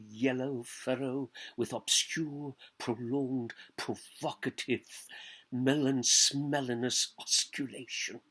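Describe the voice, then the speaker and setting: A man reading literary prose aloud like a stage actor, declamatory and deliberate, lingering over lists and pausing between phrases. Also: medium pitch, fairly bright tone.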